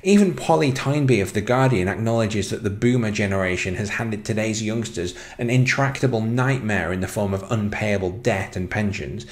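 A man narrating a scripted explainer as presenter, in a measured, announcing manner. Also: on the low side.

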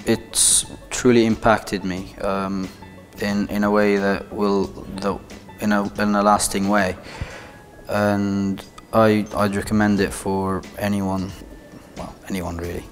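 A man's voice: on the low side, little pitch movement, slow pace, soft background music. A young man from Egypt, a student speaking hesitantly with many restarts in a testimonial interview on a lapel mic. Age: young adult. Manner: hesitant, repeats words.

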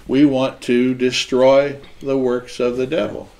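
A man's voice: medium pitch, level tone, a little slow, a little mellow. A man in his sixties hosting a panel discussion, talking in a conversational way and gesturing as he speaks. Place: small indoor studio set.

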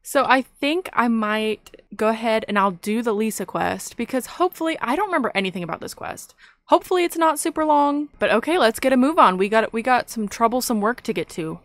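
A woman's voice: fairly high pitch, lively intonation, fairly quick, no echo. A young woman giving casual, chatty gameplay commentary in an informal, self-amused way. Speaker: gaming YouTuber. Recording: game music under voice-over. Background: game music.

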